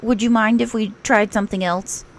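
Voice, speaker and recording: A woman's voice: mid-range voice, steady tone, normal speed, close to an everyday voice. A woman voicing a practical, slightly bossy but friendly male rabbit in a children's story, with emphatic, instructive delivery. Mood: businesslike.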